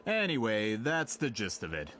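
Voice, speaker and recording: A man's voice: mid-range voice, vocal fry, fairly bright tone, slight background noise, full character voice. An actor playing a self-assured, somewhat impatient author in a video game, pushing to get what he wants. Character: pushy male author chasing a story.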